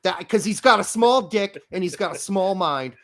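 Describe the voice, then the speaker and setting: Brief: fifty-year-old American-accented man, co-host, profane ranting, lively pitch swings. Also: normal speed. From Germany. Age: 50s. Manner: profane ranting, loud outbursts. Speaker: podcast co-host. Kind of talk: casual chat.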